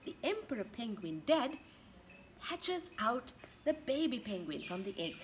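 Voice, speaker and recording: A woman in her thirties doing an interactive picture-book read-aloud for young children, expressive and full of questions to her listeners. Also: on the high side, measured pace. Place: outdoors in a home garden. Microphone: camera a couple of metres away. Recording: outdoor ambient garden noise.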